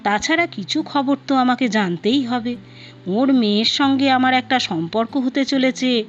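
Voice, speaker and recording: A woman's voice: sits high, moderate pace, natural voice. A woman storyteller voicing a confident, probing young male suitor, courteous and matter-of-fact. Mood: assured, knowing. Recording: dull lo-fi sound with music behind.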